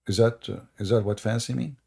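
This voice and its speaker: A man's voice: fairly low pitch, fairly even intonation, brisk, creaky, dark tone, slightly rough. A man with an Arabic accent, a native Arabic speaker, hosting a live stream in a sarcastic, teasing manner. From Middle East. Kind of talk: mocking commentary on a live stream.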